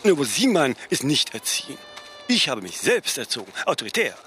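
An actor playing an imperious, self-mythologizing older fashion designer in a German radio play, speaking haughtily in aphorisms and tagging sentences with "ne?". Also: male voice, medium pitch, wildly swooping pitch, a little fast, bright, forceful tone, slight background noise. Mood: imperious, dismissive.

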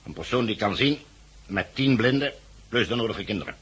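A man's voice: fairly low pitch, animated, fairly quick, a bit bright, husky, exaggerated voice. A man acting in a 1960s Dutch radio drama as a cold, officious committee envoy, formal and pompous, with a Netherlands accent. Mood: coolly insistent. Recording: muffled-edged vintage 1969 radio recording.

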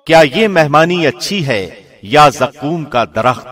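A man reading a scripture translation as scripted narration, in a measured, formal delivery. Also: a little high, animated, a bit bright. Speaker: translation reader, radio host. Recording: studio voice with long reverb.

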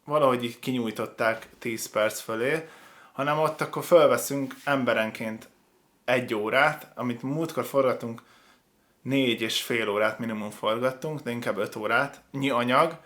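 A man hosts a casual reaction show with a medium-pitched voice. He comments with clear opinions in a halting flow, often stopping to rephrase himself. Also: fairly bright tone. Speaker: host of a video reaction show.

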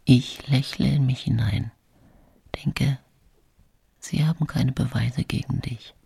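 An actress playing a secretive, fearful middle-aged woman accused of poisoning, in a husky whispered radio drama monologue. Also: extremely low pitch, fairly even intonation, takes it slowly, mellow, no echo, full character voice.

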